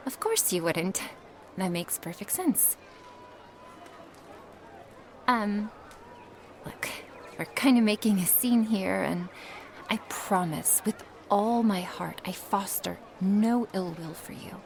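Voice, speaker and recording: A woman playing a flustered, earnest young witch who reads tarot, in an ASMR roleplay with a halting manner. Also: mid-range voice, slight background noise. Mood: flustered, pleading. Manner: halting, breathy asides, nervous little laughs and sighs. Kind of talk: ASMR roleplay acting, addressed to the listener.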